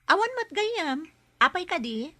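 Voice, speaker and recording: A woman's voice: very high voice, big pitch swings, a little slow, pushed and bright, heavily acted. A woman acting a patient, caring young health worker in an Ilocano radio drama, with an Ilocano Filipino accent. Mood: curious, friendly.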